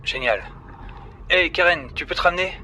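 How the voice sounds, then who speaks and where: A man's voice: high voice, moderate pace, pushed and bright, a little hiss or hum. A man playing a cautious, pessimistic salvage crewman who talks dryly and informally in a sci-fi audio drama. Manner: informal, dry.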